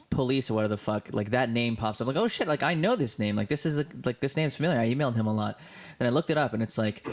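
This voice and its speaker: Rambling young man telling a story. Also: medium pitch. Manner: rambling storyteller, lots of fillers. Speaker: podcast co-host. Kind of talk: casual chat. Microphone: budget home mic, being upgraded.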